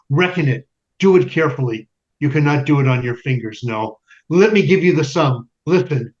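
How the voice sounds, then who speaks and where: Grave, high-voiced male narrator played by an actor. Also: a little hiss or hum, natural voice. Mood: bitter, forceful. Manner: grave, deliberate, declamatory, with emphatic lists. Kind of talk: dramatic scripted stage narration. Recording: dull, lo-fi stage recording. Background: music.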